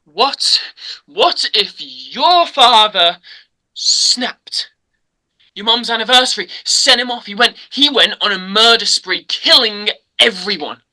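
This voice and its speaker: Woman playing an unhinged, sharp-voiced young male killer. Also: medium pitch, expressive, full character voice, low-quality recording. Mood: gloating. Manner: halting, drawn-out, taunting delivery. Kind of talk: dramatic script table read.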